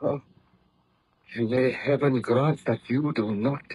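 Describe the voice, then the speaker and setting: An actor playing a tormented, guilt-ridden aristocratic scientist in an old radio horror drama, with grave, formal, theatrical delivery. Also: male voice, medium pitch, fairly even intonation, slow pace, a little mellow, husky, no echo, low-quality recording. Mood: grave, confessional.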